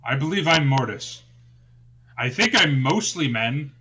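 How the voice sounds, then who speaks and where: A man's voice: mid-range voice, lively intonation, measured pace, bright, forceful tone, slight background noise, low-quality recording. A man playing in a tabletop game, joking around and speaking with some hesitation. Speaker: player.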